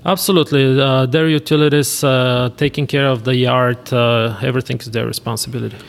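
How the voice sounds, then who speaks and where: A man with a Bulgarian accent chatting casually as an interview guest, speaking in halting, hesitant phrases. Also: mid-range voice, fairly even intonation, creaky. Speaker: podcast guest, real estate investor. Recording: reverberant, hall-like room.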